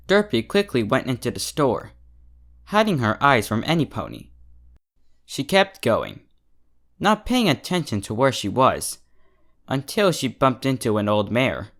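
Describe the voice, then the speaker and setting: A narrator reads a story at a slow, deliberate pace. The pitch moves a great deal, giving the scripted prose an animated, expressive shape. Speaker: fan fiction reader, narrator.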